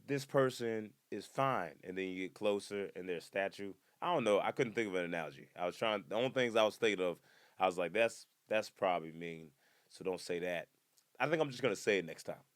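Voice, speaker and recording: Animated young man, low dry voice. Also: smooth, no echo. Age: 20s. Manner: animated, jumps to loud high-pitched bursts when acting out people. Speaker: comedian hosting his own podcast. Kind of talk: casual comedic monologue.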